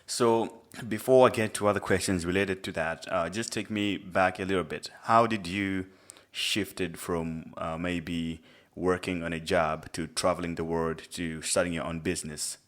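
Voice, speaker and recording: A male podcast host asking a guest questions in a soft, slightly hesitant way, a bit off-mic. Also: low voice, steady tone, normal speed. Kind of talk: interview questions.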